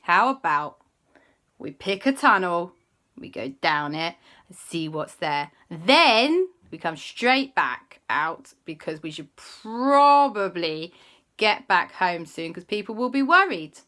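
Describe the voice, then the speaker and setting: A woman's voice: mid-range voice, big pitch swings, moderate pace, pushed and bright, pitched above the performer's own voice. Woman playing sensible scolding kids, raised voice, bossy, storybook. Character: sensible group of children, scolding.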